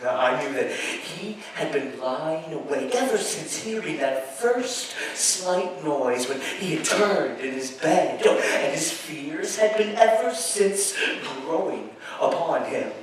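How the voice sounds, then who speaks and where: A man on stage playing a deranged, obsessive murderer confessing with chuckling glee, halting and repeating himself in a theatrical gothic horror reading. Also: on the high side, lively intonation. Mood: hushed, sly, obsessive. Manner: halting, repetitive, swinging from hushed to shouted. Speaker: stage performer. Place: live show at an amusement park. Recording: echoey venue, distant mic, faint noise.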